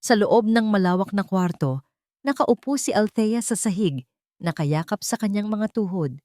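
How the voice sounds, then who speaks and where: A woman's voice: medium pitch, expressive, normal speed, warm tone, dry recording, clean studio sound. A woman reading a scripted love story as narrator, in a solemn, melodramatic manner.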